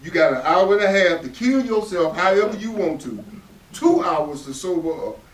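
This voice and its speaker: A man's voice: high voice, big pitch swings, normal speed. An actor playing a stern, bitter, domineering father in a staged play reading. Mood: gruff, warning. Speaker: actor in a readers theatre play. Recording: roomy stage pickup from far off.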